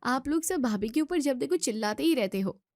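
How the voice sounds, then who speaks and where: A woman's voice: sits high, expressive, brisk, a little mellow, no room sound, studio quality. A woman playing an outspoken young student in a family drama, scolding and arguing with emphatic questions. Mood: indignant, reasoning. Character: outspoken young student daughter defending her sister-in-law. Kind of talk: Hindi family drama voice acting.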